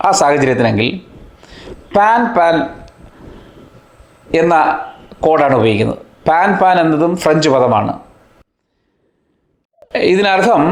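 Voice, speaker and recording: A man presenting an educational explainer in deliberate, measured phrases. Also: fairly high pitch. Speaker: presenter of an educational video channel. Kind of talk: explanatory narration. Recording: roomy, echoing voice-over.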